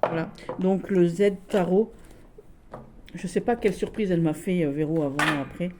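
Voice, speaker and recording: A woman with a Lorraine accent, showing tarot decks in a casual video, gushing and halting with many restarts. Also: lowish voice, steady tone, dark tone, slight background noise. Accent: Lorraine French. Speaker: tarot deck reviewer. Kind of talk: casual tarot deck showcase.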